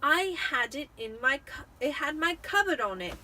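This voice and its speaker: Woman playing an indignant, high-voiced young boy. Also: very animated, moderate pace, bright, forceful tone, recorded from a distance, heavily acted. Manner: angry, protesting. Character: indignant young boy, stubborn and put-upon. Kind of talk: dramatic amateur audiobook reading.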